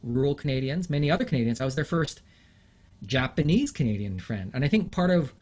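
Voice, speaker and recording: A middle-aged man from England, an interview guest, talking thoughtfully with frequent fillers and pauses. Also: mid-range voice, lively intonation, darkish tone. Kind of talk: reflective interview conversation.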